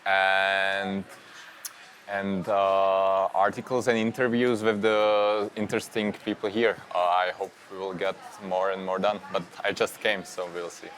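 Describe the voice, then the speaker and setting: A man's voice: sits low, completely flat delivery, a little slow, fairly bright tone. A young man, answering hesitantly with many fillers. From Slovakia. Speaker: young journalist. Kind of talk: casual interview answers.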